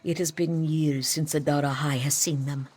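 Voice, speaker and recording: A woman's voice: low voice, steady tone, moderate pace, darkish tone, slightly rough. A woman voicing a solemn cat-folk priestess in a fantasy game, speaking formally of herself in the third person. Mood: solemn, explaining. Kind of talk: scripted fantasy game dialogue. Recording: background music under the voice.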